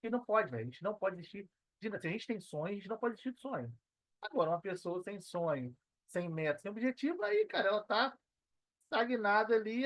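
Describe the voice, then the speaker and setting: A man's voice: high-pitched, dry recording. Brazilian male podcast participant. Casual motivational chat, emphatic, repetitive slangy phrasing, dry recording. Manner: emphatic, slangy, repeats himself.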